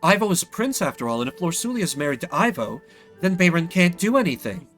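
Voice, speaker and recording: A bustling queen from a story game, played by a man, decisive and emphatic. The voice sits high and is bright, with lively movement. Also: quick pace, soft background music. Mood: excited, relieved.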